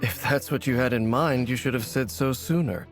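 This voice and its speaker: A man playing a stoic, calm and courteous warrior bodyguard in a video game. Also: mid-range voice, normal speed, soft background music, close to an everyday voice. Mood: calm, obliging.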